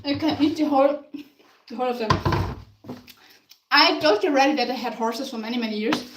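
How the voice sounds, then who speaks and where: A woman's voice: high-pitched, fairly quick, heavy background noise. A woman from Tyrol with an Austrian German accent, chatting casually to live-stream viewers while she bakes in her home kitchen. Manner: chatty, answers viewer comments, laughs often. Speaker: live-stream baking host. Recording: echoey kitchen, mic far off. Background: clinking dishes and cutlery.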